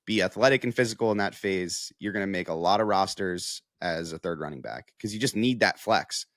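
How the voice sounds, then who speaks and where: A man's voice: low voice, brisk, fairly bright tone, no echo, studio quality. A man analysing football in a casual podcast discussion, with an emphatic manner that leans on repeated phrases.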